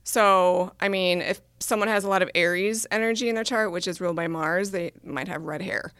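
A woman talks on a podcast in a smooth, slightly low voice. She rambles with warm enthusiasm and laughs now and then. The sound is dry and close. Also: a little fast, no room sound. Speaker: podcast host and astrologer. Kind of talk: casual conversation.

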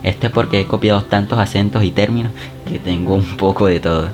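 Deep-voiced man coaching over music. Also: a little fast. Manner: direct, coaching, talks straight to the listener. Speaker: podcast host. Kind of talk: self-help podcast monologue. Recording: music bed behind the voice.

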